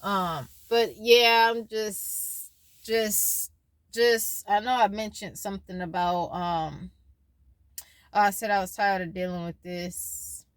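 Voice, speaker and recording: A woman's voice: medium pitch, steady tone, slow pace, clean tone, no room sound. Rambling, worried young woman vlogging. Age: 20s. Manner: rambling, loses her train of thought; worried, faith-filled musing. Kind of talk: casual personal vlog.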